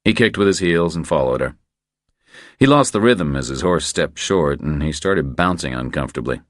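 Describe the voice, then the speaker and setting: A man narrating a crime thriller audiobook in a measured, deliberate, scripted style. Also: very deep voice, animated, no room sound, clean studio sound.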